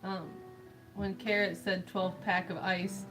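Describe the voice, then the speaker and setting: A woman co-hosts a relaxed building stream, chatty and teasing, with an ordinary middle-pitched voice. Music plays clearly in the background while she talks. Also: slow, poor audio. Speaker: stream co-host. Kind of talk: casual chat during a building stream.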